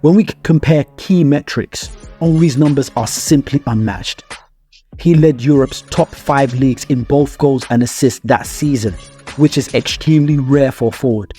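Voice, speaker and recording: A man's voice: mid-range voice, normal speed, warm tone. A man delivering scripted sports analysis as a video narrator, with emphatic phrasing and rhetorical questions to the viewer. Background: music.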